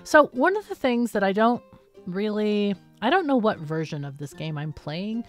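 A woman's voice: medium pitch, sing-song, moderate pace, faint music behind. A woman hosting a gaming video with casual let's-play commentary, explaining and thinking aloud as she plays.

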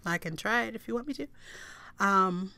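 A young woman chatting casually to her webcam in a small room, laughing at herself and breaking into bits of song, with a low register voice. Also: expressive, moderate pace, vocal fry, sharp tone. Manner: giggly, self-deprecating, breaks into song. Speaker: vlogger, singer. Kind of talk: casual chat to camera, singing snippets.

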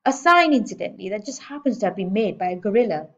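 A woman's voice: medium pitch, lively intonation, fast, dull audio, pitched above the performer's own voice, lightly acted. A woman voicing a weary, reluctant middle-aged father who answers with exasperated skepticism. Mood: skeptical.

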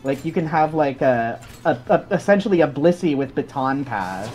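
A man guesting on a gaming stream, rambling excitedly as he explains things. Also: on the high side, normal speed, soft background music. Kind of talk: casual gaming chat.

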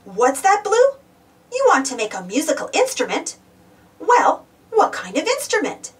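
Very high, sing-song woman reading to children. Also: wildly swooping pitch, unhurried, recorded from a distance. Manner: exclaiming, question-led address to young children. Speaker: storybook reader. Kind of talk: animated children's read-aloud.

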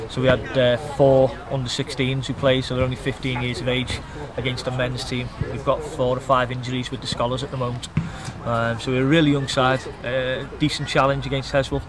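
A middle-aged man, a youth football coach giving a measured, matter-of-fact post-match interview outdoors. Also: mid-range voice, flat delivery, a crowd in the background. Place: outdoors beside a grass football pitch.

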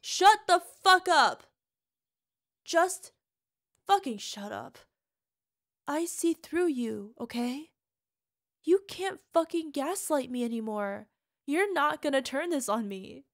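A volatile, bitter young girlfriend, as an actress plays her, with a high voice that has gravelly fry running through it. She speaks slowly, drops to hushed whispers and flares into swearing, and the sound is close and dry. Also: lively intonation, no echo, exaggerated voice. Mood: furious. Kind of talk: audio roleplay monologue.

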